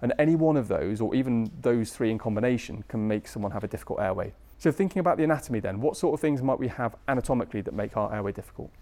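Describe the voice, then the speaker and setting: A British man lectures at a brisk pace in a soft, mellow voice. He teaches informally, chatting through his points with colloquial phrasing and frequent tag questions. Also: medium pitch, expressive. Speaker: medical lecturer, anaesthesia registrar.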